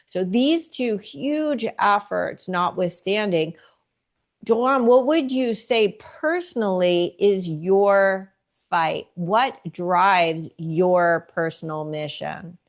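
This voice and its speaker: A woman hosting a podcast, interviewing her guest with measured, deliberate questions. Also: mid-range voice. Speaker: podcast host.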